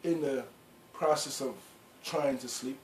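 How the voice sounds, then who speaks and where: Middle-aged man, mid pitch, rambling casual storyteller, echoey room. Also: fairly even intonation, measured pace. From Guyana. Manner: rambling, lots of "you know" and restarts. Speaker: clothing brand founder. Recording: echoey room with faint background noise.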